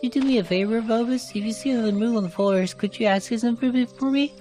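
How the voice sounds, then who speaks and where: A woman's voice: on the high side, racing, mellow, faint music behind, natural voice. A woman voicing a worried young girl with an earnest, pleading manner in narrated fantasy game dialogue. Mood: curious, hopeful.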